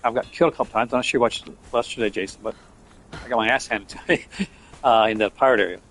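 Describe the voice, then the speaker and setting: Newfoundland man, mid-pitched, streams games and rambles loosely while he plays, faint noise behind. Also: fairly even intonation, fast talker, a bit bright. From Newfoundland, Canada. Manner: rambling, offhand, talks while playing. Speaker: game streamer. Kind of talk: casual gameplay live-stream chat.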